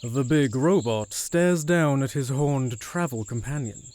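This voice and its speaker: A man's voice: mid-range voice, unhurried, a little mellow, a little hiss or hum. A man giving scripted third-person narration as the storyteller of an audio drama.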